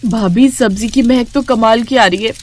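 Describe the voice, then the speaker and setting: A woman's voice: a little high, moderate pace, a little mellow, lightly acted. A woman voicing an eager, childishly stubborn young village sister in a cartoon story, with a wheedling, emphatic delivery. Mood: delighted.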